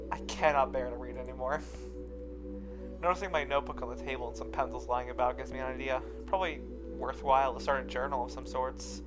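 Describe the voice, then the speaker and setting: A person reading a visual novel aloud with friends, moving between narration and casual banter. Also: medium pitch.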